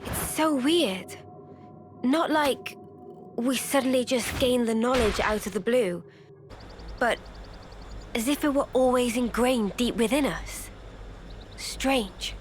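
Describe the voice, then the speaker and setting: A woman's voice: fairly high pitch, slow, pauses often, faint music behind. A woman voicing a curious, thoughtful young female fighter in a video game cutscene, with a musing manner.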